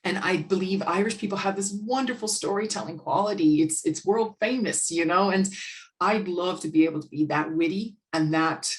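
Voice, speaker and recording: Low-voiced outgoing American woman. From United States. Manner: outgoing, speaks in quick bursts with restarts. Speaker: guest expert. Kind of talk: casual business chat.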